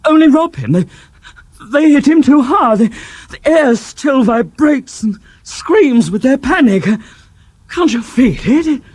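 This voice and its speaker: An actor playing a male clairvoyant in a trance in a radio drama, with a halting, hushed, breathy delivery. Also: very high voice, sing-song, dry recording, exaggerated voice.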